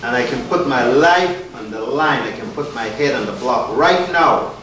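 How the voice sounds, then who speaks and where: A man's voice: mid-range voice, animated, moderate pace, crowd noise. A man from Guyana, hosting a community lecture in an earnest, emphatic, rhetorical way. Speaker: event host. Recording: echoey hall, distant mic, noisy.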